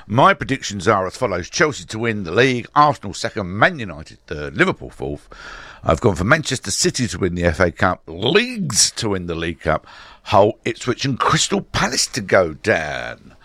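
A hoarse man with a slight cold hosting a local radio sports show, chatting casually and rambling with lots of filler sounds. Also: lowish voice, big pitch swings, moderate pace, pushed and bright, no room sound. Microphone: studio microphone.

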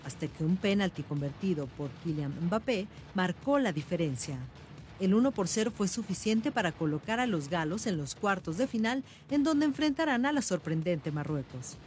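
A woman's voice: on the low side, a little mellow, a little hiss or hum. A woman reading short news and trivia items as scripted narration. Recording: music bed under voice.

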